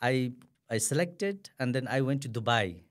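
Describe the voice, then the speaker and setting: A man's voice: mid-range voice, expressive, moderate pace. A Nepali man with a Nepali accent, a non-native English speaker answering interview questions hesitantly with many fillers. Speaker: guest, returned migrant worker.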